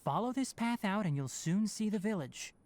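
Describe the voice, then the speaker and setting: An actor playing a young, composed, clinical forest ranger in an anime-style video game, speaking precisely and matter-of-factly. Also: male voice, high-pitched, expressive, moderate pace, darkish tone.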